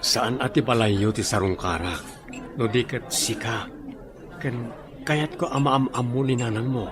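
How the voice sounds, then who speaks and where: An actor with a Filipino accent playing a courteous, well-off gentleman in a radio drama, speaking with assurance. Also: medium pitch, unhurried, a crowd in the background, natural voice. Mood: wooing.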